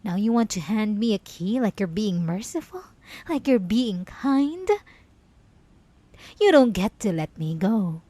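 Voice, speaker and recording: A woman's voice: mid-range voice, very animated, a little slow, no room sound. A young woman performing an obsessive, possessive female killer locked in a cell, taunting in whispers and bursting into outbursts. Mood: scornful, then menacing. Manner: taunting, slipping from soft whispers to sudden outbursts. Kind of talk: roleplay audio drama to the listener.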